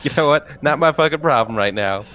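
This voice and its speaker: A man's voice: fairly high pitch, lively intonation, brisk, sharp tone, soft background music. A man chatting casually as a player in a tabletop roleplaying game. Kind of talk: casual joking table chat.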